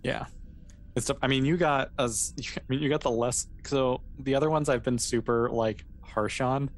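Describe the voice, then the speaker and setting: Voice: mid-range voice, fairly even intonation, moderate pace. A game master narrating an improvised roleplaying scenario in a casual, conversational way.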